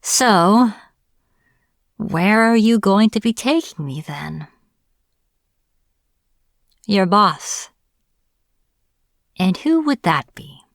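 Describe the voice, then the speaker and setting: A woman's voice: medium pitch, expressive, extremely slow, pauses often, dry recording, clean studio sound. A woman acting as a captured witch in a fantasy audio roleplay, irritable and defiant, speaking informally with sarcastic exasperation. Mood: surprised, wary. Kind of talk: dramatic fantasy audio roleplay, addressed to the listener.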